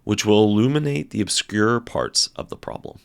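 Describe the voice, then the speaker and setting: A man giving a dramatic, deliberate reading of a philosophical text, with theatrical phrasing and emphatic pauses. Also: lowish voice, gravelly, smooth, no echo.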